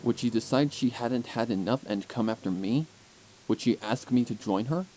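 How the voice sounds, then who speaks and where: A man's voice: medium pitch, moderate pace, warm tone, poor audio. A man reading scripted story narration with an intense, theatrical delivery, as an audiobook narrator. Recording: music under the voice.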